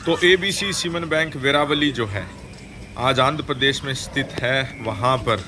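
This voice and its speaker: A man narrating a voice-over over dairy milking footage, formal and measured, often pausing to rephrase. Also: mid-range voice, background noise. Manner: formal, measured, with frequent hesitations. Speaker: dairy farming video host.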